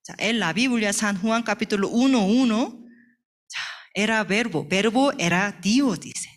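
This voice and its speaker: A woman with a Korean accent teaches from the Bible as a workshop speaker. She speaks slowly at a middle pitch, in halting, simple phrases. She often drops in short questions to check that listeners follow. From South Korea.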